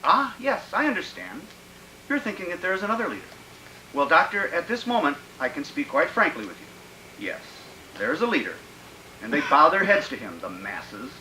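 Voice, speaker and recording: An actor playing a megalomaniac, menacing political schemer in a radio drama, declaiming in a grandiose, theatrical way. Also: male voice, a little high, very animated, normal speed, bright, forceful tone, roomy sound, slight background noise, heavily acted, rough recording. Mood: smooth, scheming. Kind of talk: old-time radio drama acting.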